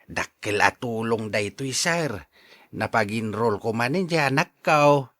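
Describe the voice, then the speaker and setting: A man's voice: mid-range voice, lively intonation, takes it slowly, fairly bright tone, dry recording. A man acting a grateful, deferential janitor in a radio drama, with a friendly manner.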